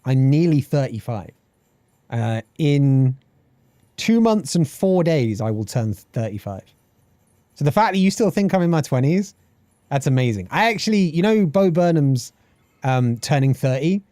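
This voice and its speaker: A man's voice: fairly high pitch, a little slow, no echo. A British man in his thirties chatting casually on a game live stream, swearing freely and reading chat aloud. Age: 30s. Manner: animated, swearing, reads chat aloud and laughs often.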